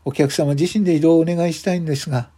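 A man's voice: fairly high pitch, fairly even intonation, fast talker, warm tone, husky, voice pushed up. A man voicing a timid, polite hotel parking attendant who speaks hesitantly and apologetically. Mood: apologetic.

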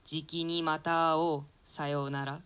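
A ruthless usurper king, acted by a woman, in a smooth, lowish voice. The delivery is theatrical and imperious, coarse in its scorn, as in a classical stage drama. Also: level tone, moderate pace, a bit bright. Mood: imperious, expectant. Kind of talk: classical stage play reading.

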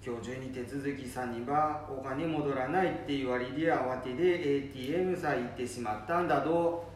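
A man's voice: mid-range voice, steady tone, normal speed, lightly acted. Far from the mic in an echoey room, a man plays a chatty old grandfather. He recounts things in Nambu dialect, as in a homemade radio play. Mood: chatty, recounting. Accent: Nambu dialect of northern Japan. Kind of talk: amateur radio drama acting. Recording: distant echoey room with faint noise.

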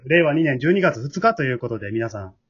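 Fast, animated man hosting, Kansai accent. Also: medium pitch, husky. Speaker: host and leader of an amateur radio drama group. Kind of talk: lively radio show hosting. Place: at home, recorded remotely. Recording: muffled remote home recording.

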